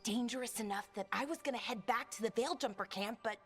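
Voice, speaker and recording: A woman playing an excitable young elven scholar in a video game, rambling eagerly and thinking aloud. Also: a little high, fast, husky, faint music behind. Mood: curious, excited.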